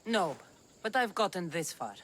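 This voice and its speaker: A woman's voice: fairly low pitch, very animated, normal speed, fairly bright tone, slight background noise. A woman playing a determined mercenary warrior who speaks tersely and confidently in a video game.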